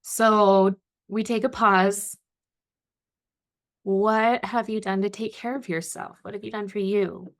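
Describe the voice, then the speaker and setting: A woman hosts a podcast interview and talks at an ordinary middle pitch. She reacts to her guest with gushing enthusiasm and asks questions in a loose, casual way. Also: steady tone, slow pace. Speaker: podcast host.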